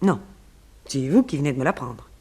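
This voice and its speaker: A woman's voice: rumbling low, sing-song, brisk, warm tone, breathy edge, exaggerated voice. Poised, articulate beautiful woman suspect. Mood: composed, defensive. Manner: articulate, composed, formal phrasing. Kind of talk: French radio detective serial acting.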